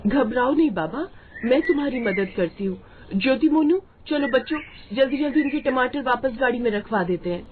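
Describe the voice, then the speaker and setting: A woman playing a kind, patient young village mother in a moral story, speaking gently and earnestly. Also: sits high, lively intonation, normal speed, narrow, tinny audio, voice pushed up. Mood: reassuring, urgent. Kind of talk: acted moral-story dialogue.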